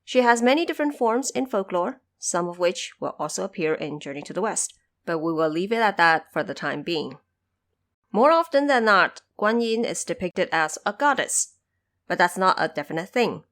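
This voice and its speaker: A woman hosting a podcast, explaining background notes in a measured, instructive way with conversational asides. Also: on the low side, lively intonation, a bit bright. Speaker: podcast host. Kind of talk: explanatory production-notes narration.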